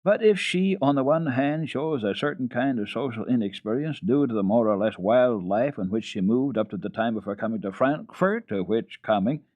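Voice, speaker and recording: A man's voice: mid-range voice, expressive, normal speed, hardly any pauses, darkish tone, no echo, pitched above the performer's own voice, natural voice. A man voicing a pedantic, deferential tutor who talks in a formal, long-winded way in a storybook reading. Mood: pedantic, hesitant. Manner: formal, circuitous, fussy. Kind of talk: dramatic children's storybook reading.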